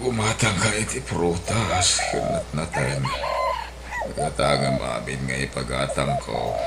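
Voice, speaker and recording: An actor in an Ilocano radio drama playing a frail, bedridden old man who still jokes, with a low, breathy voice. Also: unhurried, crowd noise, heavily acted. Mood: weak, coaxing.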